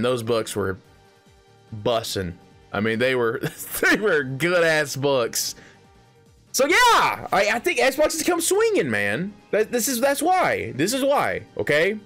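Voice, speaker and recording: A man's voice: a little high, fairly bright tone. A thirty-year-old man giving his opinions in a casual gaming vlog, rambling and emphatic, with restarts, slang and the odd swear word. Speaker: gaming video creator.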